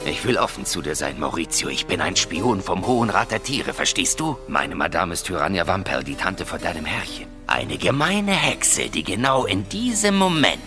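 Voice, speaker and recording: A grumbling old raven in a fantasy radio play. His pitch leaps about wildly as the blunt, cantankerous bird talks, with music behind. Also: big pitch swings, normal speed, hardly any pauses. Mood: confiding, grave. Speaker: voice actor. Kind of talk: children's fantasy audio drama acting.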